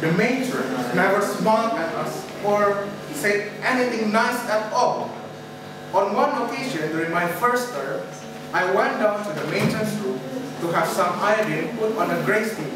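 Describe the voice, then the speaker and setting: A teenage boy reading the narration for a school readers theater, steady and even. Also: sits high, unhurried. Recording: echoey classroom, distant mic, loud noise.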